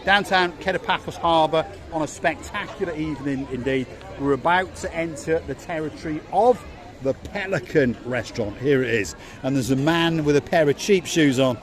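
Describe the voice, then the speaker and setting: A jokey, upbeat Welsh man with a fairly high voice chats his way along a harbour front as he films. The crowd around him is loud and clear: voices and bustle from a busy evening outdoors. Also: moderate pace. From North Wales. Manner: jokey, upbeat, chatty with strangers. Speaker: vlog host. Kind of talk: walking vlog chat, guiding a camera. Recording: outdoor crowd chatter behind.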